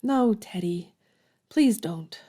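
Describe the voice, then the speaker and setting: A woman's voice: medium pitch, expressive, takes it slowly, dark tone. An actress playing a frank, spirited young woman in an audio drama, speaking earnestly and candidly. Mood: pained, pleading.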